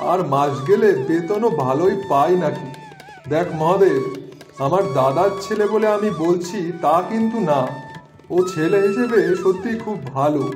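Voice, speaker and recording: Guy doing eager village matchmaker buddy, cartoon, highish chatty voice, roomy echo. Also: close to an everyday voice. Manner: chatty, persuasive. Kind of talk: Bengali moral-story cartoon voice acting.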